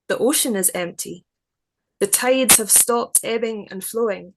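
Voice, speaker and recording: A woman speaks as a poet presenting her work, at a distinctly slow pace and a medium pitch. Her phrasing is deliberate and literary. The recording carries clear noise. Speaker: poet reading own work. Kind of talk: measured poetry reading and reflective explanation.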